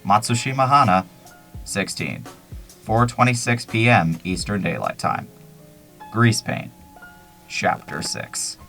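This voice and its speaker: A man's voice: on the low side, expressive, takes it slowly, gravelly, pauses often, sharp tone, full character voice. A man narrating an audio drama as a solemn announcer, reading clipped log-style scene headings. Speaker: chapter announcer. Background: music.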